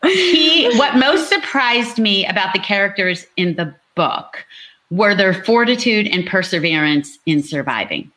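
A woman's voice: mid-range voice, sing-song, measured pace, bright, forceful tone. A woman author talking casually about her novel at a book launch, chatty and explanatory.

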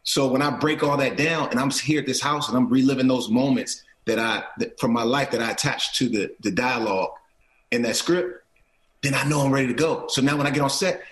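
A man's voice: mid-range voice, a little fast, a bit bright. Earnest man in an echoey room. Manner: earnest, repeats and restarts phrases, says "right?" often. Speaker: guest, actor and producer. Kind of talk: casual interview talk.

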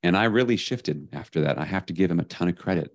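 A man being interviewed as an author on leadership, talking in an energetic, emphatic way. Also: low voice, fast, no room sound. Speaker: podcast guest, leadership author. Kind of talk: casual interview talk.

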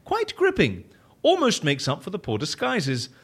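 An actor playing a fussy, sceptical gentleman in a comic audio drama, speaking in an arch, polite, wordy way. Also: sits high, huge pitch swings, normal speed, slightly rough, exaggerated voice. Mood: arch, amused. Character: fussy, theatrical, sceptical gentleman, unflappable until threatened.

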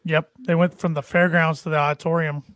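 Chatty higher-voiced male podcaster, dry sound. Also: little pitch movement, a bit bright, no echo. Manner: chatty, quick agreeing yeps, eager. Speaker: podcast co-host. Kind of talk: casual wrestling podcast chat.

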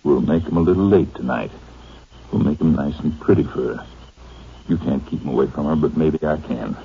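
An American actor playing a curt, bullying thug who gives threatening orders in a 1950s radio drama. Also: male voice, extremely low pitch, brisk, gravelly, slightly rough, exaggerated voice. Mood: menacing. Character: menacing, bullying male thug and drug supplier. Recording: muffled, noisy old 1950s radio recording.

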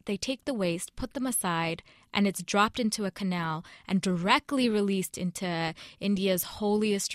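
A young woman correspondent explaining a reported story in an earnest, measured way during a news interview. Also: mid-range voice, fairly bright tone, no echo, studio quality.

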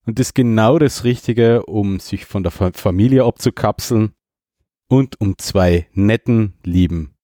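Mellow, lowish Austrian male podcast host. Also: measured pace, no room sound, studio quality. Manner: jokey, self-mocking. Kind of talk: casual, rambling chat.

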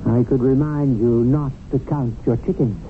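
A man's voice: mid-range voice, steady tone, normal speed, narrow, tinny audio, slight background noise, natural voice. A man playing an earnest, worried guardian spirit in a 1970s radio drama, rueful and confiding. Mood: defensive. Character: earnest, worried male guardian spirit telling his story. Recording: thin 1970s radio recording with music. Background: music.

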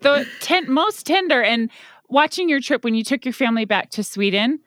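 A woman co-hosting a podcast, speaking warmly and gushing with compliments. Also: high voice, animated, normal speed, no room sound, studio quality. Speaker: podcast co-host. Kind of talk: casual interview.

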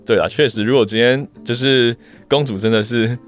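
A man's voice: medium pitch, fairly even intonation, brisk, faint music behind. A man with a Taiwanese Mandarin accent chatting casually as a podcast co-host. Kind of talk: casual chat.

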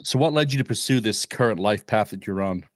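A man's voice: fairly low pitch, brisk, no room sound. A man hosting his own podcast, reading a scripted intro and asking interview questions in an upbeat, announcer-like way. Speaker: podcast host.

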